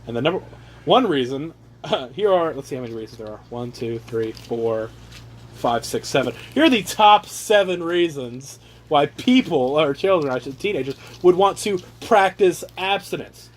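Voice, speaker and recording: A man casually reading aloud and riffing on the text with sarcastic, profane, animated asides, on an amateur home recording. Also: fairly high pitch, moderate pace. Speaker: reader riffing on a handbook aloud to his brothers. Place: casual room with brothers chiming in.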